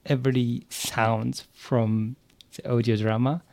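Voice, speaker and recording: A man with a Japanese accent, a native Japanese speaker, giving careful, halting interview answers in English as a podcast guest and learner. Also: mid-range voice, little pitch movement, a little mellow, dry recording. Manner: hesitant, searching for words, halting phrases.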